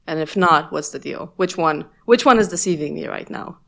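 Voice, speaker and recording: A woman with a lower-pitched voice whose first language is Romanian speaks in a relaxed, reflective conversation. She tells her stories animatedly, often laughing and restarting her sentences. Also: normal speed, creaky. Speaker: guest.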